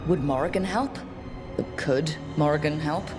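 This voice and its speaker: A woman's voice: sits low, unhurried, music in the background. A woman playing a resolute, plain-spoken leader rallying her team in a video game cutscene. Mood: determined.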